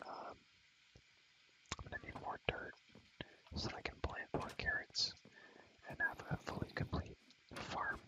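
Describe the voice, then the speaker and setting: A young man whispering in a casual ASMR game tour, breathy and slangy, softly repeating his phrases. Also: rough recording. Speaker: video creator showing a game world.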